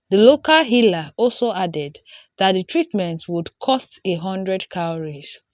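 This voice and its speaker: Nigerian female storyteller, unhurried scripted folktale narration, close dry sound. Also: lowish voice, dry recording.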